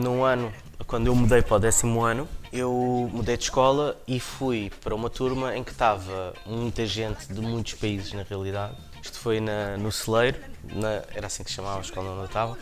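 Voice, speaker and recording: Thirties male interviewee, Lisbon-area Portuguese accent, easygoing anecdotal delivery over soft music. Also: lowish voice. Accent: European Portuguese, Lisbon area. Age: 30s. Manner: relaxed storytelling, slang, restarts. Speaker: interview guest, dancer. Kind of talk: documentary interview monologue.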